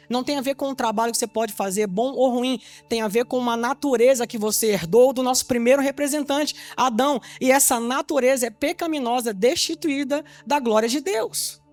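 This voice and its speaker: A man from Salvador, Bahia, preaching an evangelistic sermon to his congregation. He teaches with rhetorical questions and keeps checking whether they understand. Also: extremely high pitch. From Salvador, Bahia, Brazil. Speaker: pastor.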